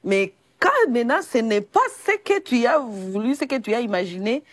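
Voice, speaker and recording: A young woman from the Democratic Republic of Congo, sharing a personal testimony as a guest in a quiet, halting, pausing way. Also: fairly high pitch, sing-song, no echo. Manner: soft, halting, pausing often. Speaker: guest evangelist. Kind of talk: personal testimony in a faith talk show.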